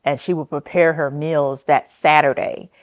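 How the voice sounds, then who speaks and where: An American woman from New Jersey telling personal family history for a documentary, speaking in a reflective, halting way with frequent pauses. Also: sits low, flat delivery, no room sound. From New Jersey, United States. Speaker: interviewee sharing family history.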